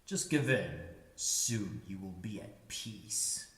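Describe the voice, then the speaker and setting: A man's voice: deep voice, expressive, slow pace, a bit bright, echoey room, big-room sound, full character voice. Man acting a darkly coaxing spirit of despair. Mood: calm coaxing.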